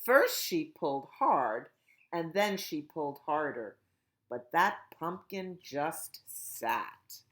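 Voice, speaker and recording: Slow, lively storytelling woman. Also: low-pitched. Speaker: children's librarian. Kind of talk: storytime read-aloud.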